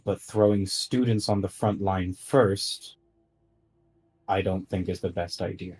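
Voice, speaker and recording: A man playing an earnest, cautious adventurer in a tabletop game, speaking in a measured, insistent way. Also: sits low, fairly even intonation, darkish tone, breathy edge. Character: earnest, cautious male adventurer arguing firmly for safety.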